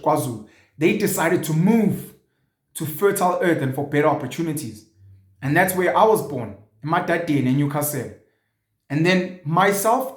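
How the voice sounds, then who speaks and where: A South African man in his thirties hosting a show, talking earnestly and reflectively with many fillers. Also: a little high. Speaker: show host. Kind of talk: casual monologue to camera. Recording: echoey room.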